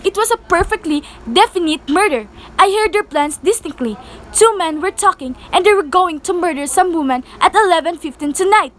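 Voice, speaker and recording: A woman's voice: extremely high pitch, expressive, brisk, a bit bright, a little hiss or hum. A young woman playing an anxious, demanding invalid wife in an amateur radio play, fretful and insistent. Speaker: student performer in a school radio play.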